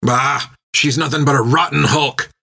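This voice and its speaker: A man voicing a gruff, foul-mouthed pirate captain who barks orders bluntly in an audiobook adventure. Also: mid-range voice, fairly even intonation, moderate pace, bright, forceful tone, pitched above the performer's own voice, exaggerated voice. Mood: scornful.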